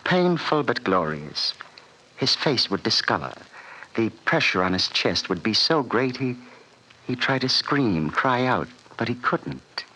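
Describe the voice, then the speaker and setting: An actor playing a sinister, menacing villain called the fat man, speaking in a smooth, relishing manner in an old radio drama. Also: mid-range voice, big pitch swings, measured pace, pushed and bright, poor audio. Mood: gloating. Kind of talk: 1940s radio acting. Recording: vintage radio recording with hiss.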